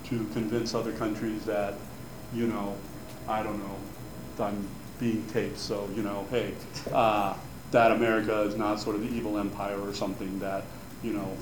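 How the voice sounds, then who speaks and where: A man's voice: lowish voice, a little mellow. A man born in Cuba, a native Spanish speaker, talking informally to students about a grant program, chatty and rambling with many fillers and restarts. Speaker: advisor giving an info session. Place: room with an audience. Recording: echoey room, faint background noise.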